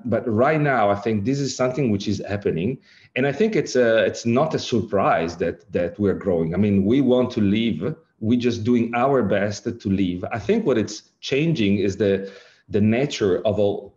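A middle-aged man from Italy with an Italian accent, giving an online lecture with slides, halting and full of fillers and rephrasings. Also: fairly low pitch, darkish tone, muffled recording. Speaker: lecturer, director of an ageing innovation centre.

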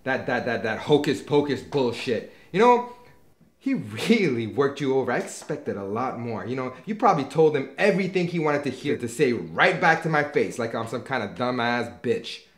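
A man's voice: medium pitch, very animated, normal speed, reverberant, lightly acted. An actor playing a tense, hostile young man who talks curt and swears. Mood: bitter. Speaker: homeowner. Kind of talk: indie drama acting.

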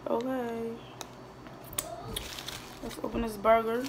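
A woman's voice: fairly high pitch, little pitch movement, slow, noisy background. Chatty teenage girl eating on camera. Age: teens. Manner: rambling, chatty, with frequent restarts and fillers; talks while eating, mouth sometimes full. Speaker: vlogger. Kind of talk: casual mukbang chat answering viewer questions. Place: small indoor room at a table. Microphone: phone camera on the table in front of her.

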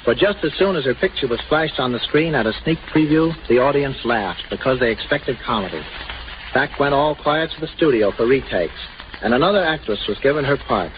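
A man's voice: medium pitch, animated, a little fast, background noise. 1930s radio announcer, man, muffled broadcast. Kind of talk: 1930s scripted radio narration.

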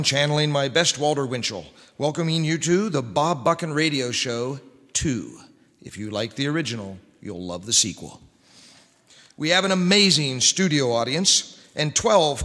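Middle-aged guy doing old-time radio announcer patter, kinda high voice, emphatic, big echoey hall. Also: pushed and bright. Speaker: radio show host. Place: large wooden church hall on stage. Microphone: microphone on a stand.

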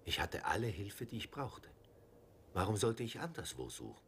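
An actor playing a gentle, trusting Carthusian monk who recounts his journey in a calm, reflective way in a dubbed film. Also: lowish voice, normal speed, a little hiss or hum.

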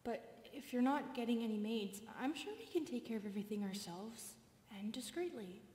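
A woman as a husky, hesitant centipede maid. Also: fairly high pitch, expressive, moderate pace. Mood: pleading. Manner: soft-spoken, hesitant, often breathy and whispered. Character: timid, dutiful, polite centipede maid, female. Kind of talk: ASMR roleplay acting.

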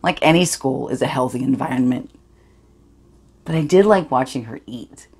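A woman's voice: very deep voice, normal speed, darkish tone, husky, heavily acted. A woman performing a dramatic monologue as a privileged, awkward eighteen-year-old girl, sly and defensive, rambling confessionally. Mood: gossipy, sly. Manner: rambling, confessional, self-justifying.

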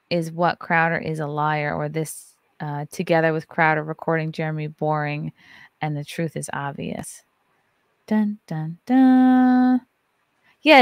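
A woman's voice: fairly low pitch, smooth, no room sound. A woman in her thirties hosting a casual livestream podcast from a home studio, loose and joking, swearing freely. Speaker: podcast host. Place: home studio with neon lights. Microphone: large studio mic on arm. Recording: dry close-mic sound.

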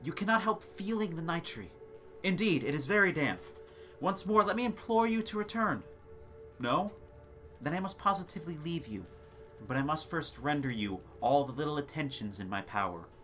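A man reading a gothic tale as a theatrical, deliberate dramatic narration. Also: fairly high pitch, expressive, a bit bright, faint music behind. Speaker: narrator of a gothic short story. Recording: noisy ambience sound bed. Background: background ambience and sound effects.

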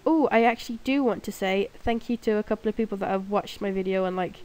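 Young woman with a cold, stuffy nose, rambling alone at home over gameplay, soft dark-ish voice. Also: mid-range voice, fast talker. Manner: rambling, self-mocking, giggly. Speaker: gaming video creator. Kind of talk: casual gameplay commentary. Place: room at home, alone at night.

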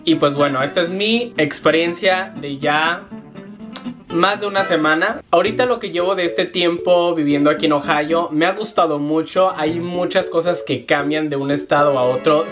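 A young Mexican man with a somewhat high voice vlogs from a small bedroom. He talks in a lively, slangy way and gestures a lot. Faint guitar music plays underneath. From Mexico, Jalisco. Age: young adult. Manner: animated, lots of hand gestures, slangy, puts on quick voices for quoted people. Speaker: vlogger. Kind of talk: casual chat to camera. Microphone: fixed camera at desk.

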